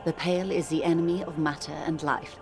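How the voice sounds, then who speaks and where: A woman playing a worldly, composed older woman in a video game, speaking eloquently in a measured, gently condescending manner. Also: low-pitched, fairly even intonation, gravelly. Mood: grave, measured. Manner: measured, eloquent, calls the listener dear and darling. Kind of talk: dramatic video game dialogue. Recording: music playing under the voice.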